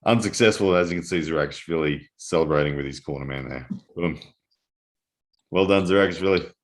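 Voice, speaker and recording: A man with a very low, deep voice calls a live arm-wrestling match, breaking down grip and technique between restarts. His voice is worn and hoarse from the early hour. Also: a little fast. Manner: analytical, with frequent restarts. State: losing his voice, early morning. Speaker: armwrestling commentator. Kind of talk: live sports commentary.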